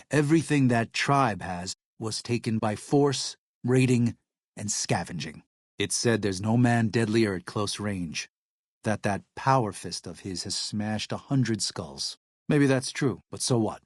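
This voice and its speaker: An actor playing a gentle, devout male missionary in a video game, speaking in an earnest, soft-spoken, hesitant way with worry for his flock. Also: on the low side, no echo, clean studio sound. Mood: grim disdain. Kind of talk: video game character dialogue.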